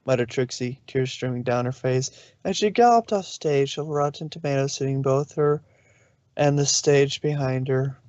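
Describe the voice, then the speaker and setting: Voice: medium pitch, normal speed, darkish tone, lo-fi sound. A narrator reading a story aloud in a storybook style, sometimes stumbling over a word and correcting it. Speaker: narrator, fan fiction reader.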